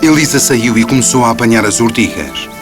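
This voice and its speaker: A man narrates a children's fairy tale in a European Portuguese accent. His voice is mid-pitched and rises and falls with lively movement. Loud music plays underneath throughout. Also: fairly quick.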